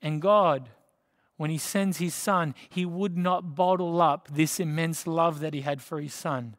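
An Australian man in his thirties, preaching a sermon from a lectern with earnest, emphatic delivery and dramatic pauses. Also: a little high. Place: church stage behind a lectern. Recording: church hall with long reverb.

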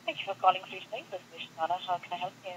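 A woman's voice: on the low side, rapid-fire. A woman working as a customer service agent, talking on a phone call heard over speakerphone. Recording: noisy muffled speakerphone call.

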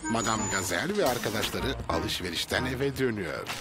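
A man's voice: lowish voice, animated, fairly quick. A man playing a cheerful storybook narrator for a preschool cartoon. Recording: music behind the voice.

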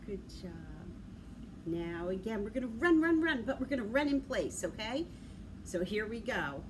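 A woman's voice: medium pitch, very animated, a little slow. A middle-aged woman leading a children's story time with rhymes and action songs, giving simple, encouraging instructions to young children. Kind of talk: children's sing-along and rhyme session, talking to young children. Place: indoor library room with large windows. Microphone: camera a few feet away. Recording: clearly noisy room ambience.

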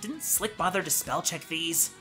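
A man's voice: on the high side, moderate pace, bright, forceful tone, husky, soft background music. A young man voicing a cheeky, pun-loving host of a cartoon question-and-answer show. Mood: amused, wry.

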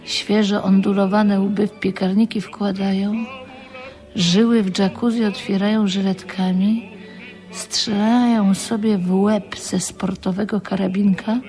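An actress playing a bitter, world-weary narrator, delivering a sardonic radio play monologue. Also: female voice, medium pitch, little pitch movement, slow pace, a little mellow, soft background music, natural voice.

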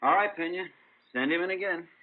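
Brisk police detective played by a man, medium pitch, rapid factual delivery, thin vintage radio sound. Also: background noise, lightly acted. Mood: brisk, serious. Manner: clipped, matter-of-fact. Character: brisk, matter-of-fact male police detective briefing colleagues. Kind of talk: 1950s radio drama acting. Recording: narrow-band old radio recording.

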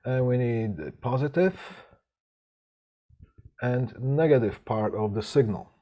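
A middle-aged man teaching a live online class. He talks haltingly with many restarts and keeps checking in with "right?", sometimes drifting away from his mic. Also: mid-range voice, highs cut off. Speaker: instructor. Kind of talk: live online lecture on audio systems.

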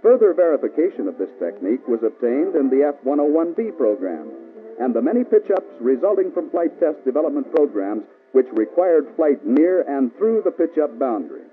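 An American man reading scripted instructional narration for a mid-century military training film, measured, authoritative and precise. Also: a little high, sing-song, narrow, tinny audio. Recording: band-limited old film soundtrack with music.

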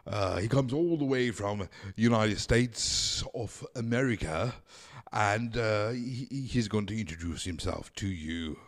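A man hosting a comedy show in a theatrical, mock-formal way, speaking into a boom-arm desk mic in a small home studio. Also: a little slow. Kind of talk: hammy scripted comedy hosting.

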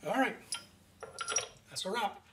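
A man in his fifties casually narrating his work in a machine shop, relaxed and pausing often with fillers. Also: high-pitched, far from the mic. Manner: relaxed, talks while working, many "uh" fillers. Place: large home machine shop, indoors. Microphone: fixed camera across the room. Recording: roomy shop echo, off-mic, loud machine noise.

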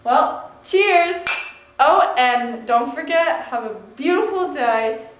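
A teenage girl plays host of a homemade cooking show, high and unhurried, giggling and tripping over her words. The sound bounces around an echoey room picked up by the camera. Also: expressive. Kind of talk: playful mock cooking show.

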